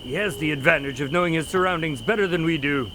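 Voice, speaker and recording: A man playing an earnest, observant doctor who investigates a case, speaking in a measured, explanatory way in an audio drama. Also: fairly high pitch, bright, forceful tone, a little hiss or hum.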